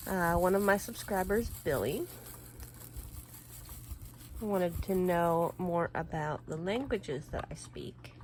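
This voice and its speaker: A woman in her thirties, a native Swedish speaker, chatting casually while crafting at home, rambling with lots of fillers and throat clearing. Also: lowish voice, slight background noise, low-quality recording. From Sweden. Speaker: crafting vlogger.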